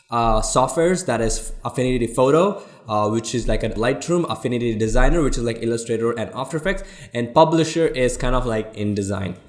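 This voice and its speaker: A man with an Indian accent giving a casual software tutorial, explaining things informally step by step to his viewers. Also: medium pitch. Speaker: tutorial host, designer.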